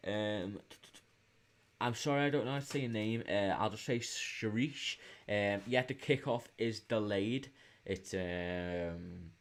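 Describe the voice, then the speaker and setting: A man with a fairly low voice and a Liverpool accent hosts a live stream. He talks casually to his viewers, reading out their comments and answering in a hesitant, informal way. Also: slow. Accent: Liverpool English. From England, Liverpool. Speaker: livestream host. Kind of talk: casual live chat with viewers, football watch-along.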